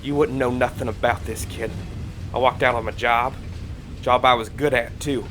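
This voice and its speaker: A man playing a gruff, suspicious working man with a folksy, blunt way of talking in an audio drama. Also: mid-range voice, fairly even intonation, moderate pace, fairly bright tone, a little hiss or hum, lightly acted. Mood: bitter.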